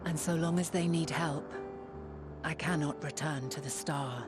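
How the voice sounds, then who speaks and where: An actress playing a wise ancient woman scholar, speaking slowly and solemnly with archaic phrasing in a game cutscene. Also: low voice, steady tone, over music. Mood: reverent. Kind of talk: voiced game cutscene dialogue. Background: orchestral game score.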